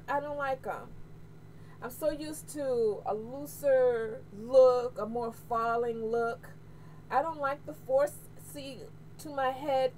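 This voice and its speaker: A middle-aged American woman chatting casually to camera, candid and expressive, repeating phrases for emphasis. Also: high voice. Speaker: hair vlogger. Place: small room at home, indoors. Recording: noisy home webcam audio.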